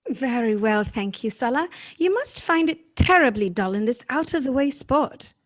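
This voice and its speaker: A woman's voice: fairly high pitch, lively intonation, moderate pace, no room sound. An actress playing an earnest, tender-hearted and naive young lady in an old-time radio drama, questioning and emotive in her delivery. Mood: polite, puzzled. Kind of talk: live recreation of 1930s radio drama.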